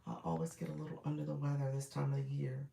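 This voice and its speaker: A woman coaching viewers on live streaming in a casual, chatty way, congested from a cold with a froggy voice, often clearing her throat and using fillers. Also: rumbling low, level tone, racing, warm tone, a little hiss or hum. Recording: roomy echo.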